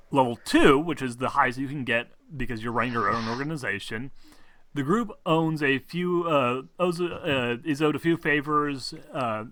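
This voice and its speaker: A man explaining game rules as game master in a casual, halting, rambling way, often clearing his throat. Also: mid-range voice, bright, forceful tone, no room sound. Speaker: game master of a tabletop role-playing game.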